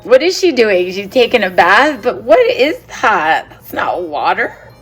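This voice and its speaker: A woman's voice: mid-range voice, sing-song, measured pace, breathy edge, soft background music, rough recording. A woman teacher reading a picture book aloud to children, chatting and asking them questions about the pages. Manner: chatty, asks the children questions and laughs along.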